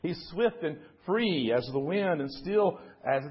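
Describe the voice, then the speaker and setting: Man voicing a wise old turtle, husky and solemn. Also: fairly high pitch, lively intonation, slow pace, lo-fi sound, pitched above the performer's own voice, full character voice. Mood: solemn, tender. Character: wise, ancient female turtle, solemn and gentle.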